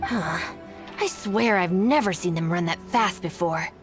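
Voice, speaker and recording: Lady doing a fed-up young gang minder in a game, dry and controlled, soft music underneath. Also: on the low side, unhurried, lightly acted. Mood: exasperated. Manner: dry, controlled, matter-of-fact. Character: stern, exasperated young woman, gang's level-headed minder. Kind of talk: English video game voice acting.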